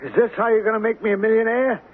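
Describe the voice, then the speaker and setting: An actor playing a skeptical, streetwise small-time gambler in a radio drama, slangy and wary. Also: male voice, high voice, fairly even intonation, racing. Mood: wary, bargaining. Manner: slangy, plain-spoken, wary. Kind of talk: radio drama acting with first-person narration. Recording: tinny, hissy old radio recording.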